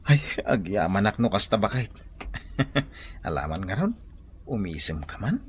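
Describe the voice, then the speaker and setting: A man acting a blustery, teasing husband with a soft side in an Ilocano radio comedy drama, with an Ilocano accent. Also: lowish voice, sing-song, a little slow, a little hiss or hum. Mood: coaxing, teasing.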